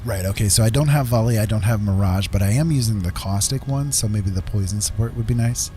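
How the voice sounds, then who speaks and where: A man's voice: fairly low pitch, fast talker, warm tone, soft background music. A middle-aged man livestreaming a game, chatting casually in a friendly, musing, polite way. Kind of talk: casual chat while gaming.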